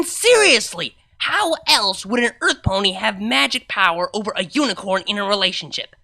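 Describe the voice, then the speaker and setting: Brash, sardonic young DJ mare played by a woman for a cartoon fan story; bright, forceful, slangy delivery with big pitch swings. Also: heavily acted. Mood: exasperated. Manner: chatty, slangy, wry storytelling asides. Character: brash young female unicorn nightclub DJ, sardonic, exasperated. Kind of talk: first-person fan fiction narration.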